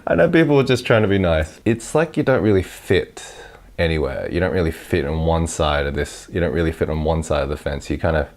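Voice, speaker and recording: A man's voice: extremely low pitch, expressive, a little fast, darkish tone. A young Australian man telling stories in a casual, conversational vlog. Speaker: vlogger.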